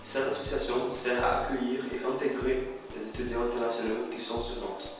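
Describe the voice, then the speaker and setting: A young man, by birth, presents himself in a steady, measured way. His voice sits at an ordinary pitch for a man and bounces around a very echoey room, picked up from some distance. Faint music plays behind him. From Brazil. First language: Portuguese. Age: 20s. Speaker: student. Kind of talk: spoken video CV.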